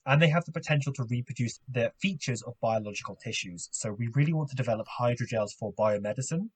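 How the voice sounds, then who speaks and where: A man's voice: mid-range voice, fast, slightly rough. A man co-hosting a podcast, chatting casually about science and asking curious questions. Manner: curious, asks questions, laughs easily. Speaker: podcast co-host.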